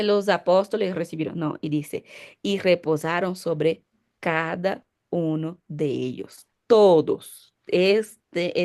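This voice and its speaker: Female pastor, lower register, lecturing style; emphatic, asks and answers own questions. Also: creaky, warm tone. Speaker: pastor and Bible teacher. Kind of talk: Bible teaching lecture.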